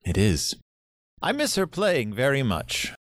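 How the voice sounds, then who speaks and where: An actor in an audio drama playing a courteous, lonely grandfather in his seventies, speaking gently and reflectively. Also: medium pitch, wildly swooping pitch, unhurried, no room sound, clean studio sound. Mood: gentle, courteous.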